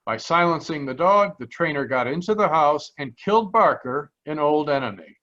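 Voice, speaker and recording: A man narrating an old-time radio mystery as the detective himself, in a measured voice a touch higher than most. The sound is slightly dull, as from a home microphone on a video call. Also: lively intonation, pushed and bright. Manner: measured first-person storytelling. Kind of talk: 1940s radio drama narration.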